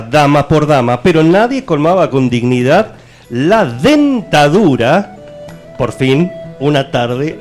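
A man with an Argentine accent narrating a storybook parody as part of a comic radio theatre show. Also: fairly high pitch. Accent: Argentine, Rioplatense. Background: music.

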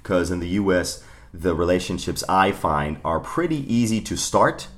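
A man with a very low voice answers interview questions in a relaxed, conversational way. He grew up in Germany, but English was his first language. He stops and restarts his sentences often and pokes fun at himself. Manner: self-deprecating, halting with restarts. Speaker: guest.

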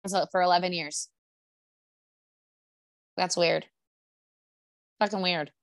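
A woman's voice: fairly low pitch, steady tone, slow pace, no room sound. Chatty young woman, dry close sound. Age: 20s. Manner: chatty, laughing, full of "like" fillers. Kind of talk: casual chat.

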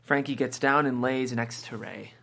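A man's voice: mid-range voice, fairly even intonation, moderate pace, vocal fry, a bit bright. Man narrating screenplay stage directions. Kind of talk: scripted narration.

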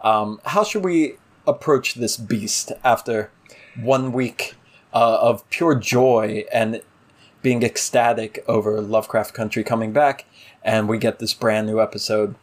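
A man's voice: lowish voice, fairly even intonation, unhurried. A man co-hosting a podcast in a casual discussion, with a wry, playfully exaggerating way of talking. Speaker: podcast co-host.

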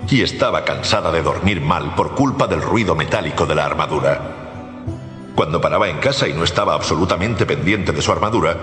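A man narrating a storybook tale for radio in an expressive, theatrical way. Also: low voice. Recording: echoey long reverb, music behind.

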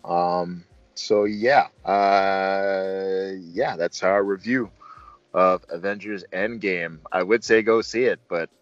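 A man's voice: low voice, fairly even intonation, slow pace. A man hosting a casual film review chat, talking in an informal, joking way. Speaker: review show host. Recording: slightly dull, roomy sound with faint music.

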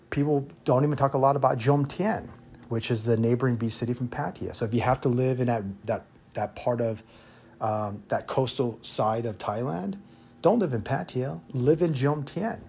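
A man's voice: medium pitch, a little mellow, slight background noise. An American man hosting an informal podcast monologue, giving opinionated advice in a blunt way and repeating points for emphasis. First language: English. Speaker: expat podcast host.

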